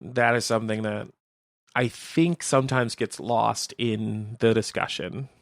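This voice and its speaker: A man co-hosting a radio show, chatting casually in an agreeable, measured manner. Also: on the low side, steady tone, dry recording, clean studio sound. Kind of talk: casual chat.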